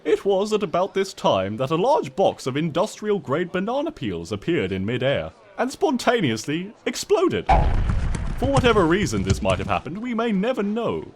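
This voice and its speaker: A man's voice: high-pitched, huge pitch swings, normal speed, pitched above the performer's own voice. A man voicing a smug, mock-innocent trickster creature who narrates a comic storybook tale in a pompous, wry, theatrical way.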